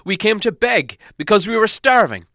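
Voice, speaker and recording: A man's voice: high voice, expressive, moderate pace, bright, forceful tone, no echo, clean studio sound, pitched above the performer's own voice, full character voice. A man voicing a proud, starving dwarf prisoner from a storybook, stubbornly defiant and indignant. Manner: indignant, insistent.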